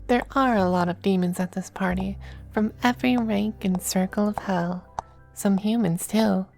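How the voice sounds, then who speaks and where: A woman voicing a suave, possessive demon femboy in an ASMR roleplay, with genteel, teasing endearments and a breathy voice that dips into whispers. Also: mid-range voice, steady tone, normal speed, darkish tone, faint music behind, close to an everyday voice. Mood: reassuring, suave. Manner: genteel, languid, commanding endearments.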